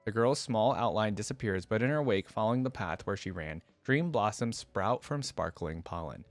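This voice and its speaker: Low-voiced young man, casual and musing. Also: expressive, normal speed, no echo, soft background music. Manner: informal, musing. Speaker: reaction video host. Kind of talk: casual reaction commentary and story read-aloud.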